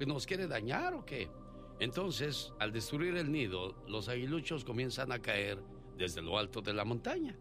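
A man's voice: mid-range voice, big pitch swings, measured pace, music in the background. A man hosting a radio call-in show, chatting and telling stories to his callers. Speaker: radio host. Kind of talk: radio call-in chat with storytelling.

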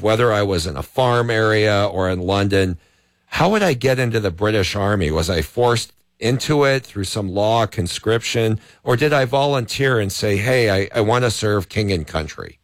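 A man's voice: lowish voice, lively intonation, moderate pace, no room sound, studio quality. A man, a law professor, giving legal commentary on talk radio, arguing his points with examples. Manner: argumentative, explains with examples. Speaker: law professor, co-host guest.

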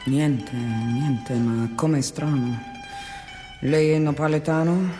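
An actress playing a fiery, tearful middle-aged seamstress with an Italian accent, who speaks blunt, emotional broken English. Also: female voice, sits low, slow, long reverb tail, over music. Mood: gentle. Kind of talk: 1950s film drama acting.

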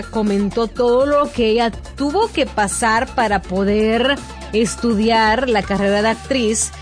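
A woman hosting a radio gossip segment, chatty and playful, laughing often as she tells the news. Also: a little high. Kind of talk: casual celebrity gossip chat.

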